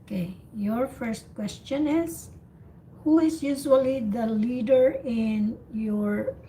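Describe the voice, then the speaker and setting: A woman leads an online speaking practice in a fairly high voice, at a very unhurried pace. She asks questions and explains simply, with faint noise behind her on the call. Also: dark tone, poor audio. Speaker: host and English speaking teacher. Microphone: online group call.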